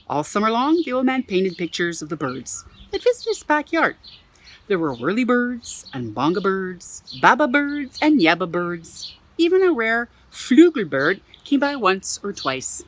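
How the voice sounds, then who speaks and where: A woman from Canada reading a children's picture book aloud in her backyard for a museum, playful and expressive, with exclaimed asides. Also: a little high, a little slow. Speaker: museum storyteller. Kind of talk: children's storybook read-aloud. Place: own backyard.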